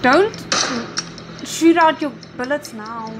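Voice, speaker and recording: A woman's voice: high voice, takes it slowly, music in the background. A woman giving spontaneous reaction commentary as the host of a drama series reaction video. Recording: clearly noisy background.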